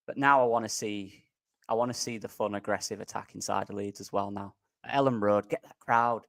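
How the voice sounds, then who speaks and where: A man's voice: fairly low pitch, steady tone, brisk, creaky, a bit bright, no room sound, clean studio sound. A man joining a casual football chat as a guest, earnest and emphatic, repeating his points. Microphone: remote stream call.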